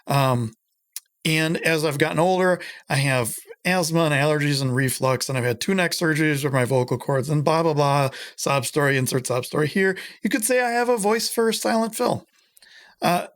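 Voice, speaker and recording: A middle-aged man hosting a casual home-studio tutorial, rambling and self-deprecating, with lots of fillers and a voice he says has gotten higher. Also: a bit bright. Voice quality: higher voice than it used to be. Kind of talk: casual screen-recorded audio tutorial. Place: small home room with guitars.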